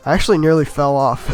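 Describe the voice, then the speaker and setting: A man with a slightly raspy voice from being a bit sick, giving easygoing, rambling voice-over commentary on his own game run and laughing at his own mistakes. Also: fairly high pitch. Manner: easygoing, rambling, self-mocking with chuckles. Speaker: gameplay commentator.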